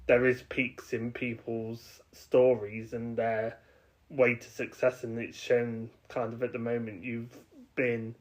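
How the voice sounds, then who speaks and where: A man's voice: medium pitch, darkish tone. A man hosting a podcast, speaking in an encouraging and hesitant way with many filler words. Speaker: podcast host. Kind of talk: casual interview chat. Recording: dull lo-fi sound.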